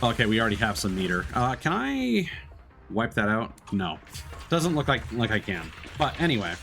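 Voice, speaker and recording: A man's voice: fairly low pitch, sing-song, moderate pace, gravelly, fairly bright tone. A man giving casual live-stream gameplay commentary, explaining things conversationally and thinking aloud. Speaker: video game streamer. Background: game music.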